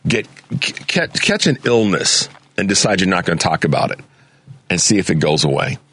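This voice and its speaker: Fervent male radio host, very deep voice, dry close studio sound, preachy monologue delivery. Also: sing-song, moderate pace, a bit bright, slightly rough, dry recording. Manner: fervent, preachy, repeats words when stirred. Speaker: radio talk show host. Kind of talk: impassioned political talk radio monologue. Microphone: studio mic.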